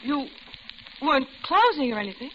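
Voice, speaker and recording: An American actress playing a lonely middle-aged widow, narrating confidingly and genteelly in an old-time radio suspense drama. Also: female voice, sits high, big pitch swings, normal speed, slight background noise. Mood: polite. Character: lonely older widowed office worker recounting her doom. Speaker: narrator and lead character. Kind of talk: 1940s-50s American radio suspense acting. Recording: dull old radio recording, loud music behind.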